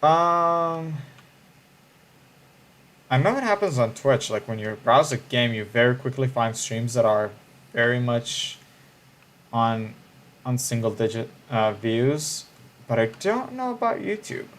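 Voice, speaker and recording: A man's voice: mid-range voice, lively intonation, takes it slowly, long pauses, fairly bright tone. Musing man chatting on stream. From Israel. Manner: musing, hesitant, with frequent restarts. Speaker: livestreamer. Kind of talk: casual livestream chat.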